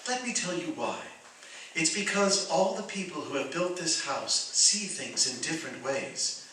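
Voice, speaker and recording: A kindly, patient spirit played by a woman with a bright, theatrical delivery and a wistful gentleness. The old-style radio drama voice rings through a very echoey space, a little away from the mic. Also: low voice, expressive, unhurried. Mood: explaining, regretful. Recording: very echoey hall, distant mic, faint noise.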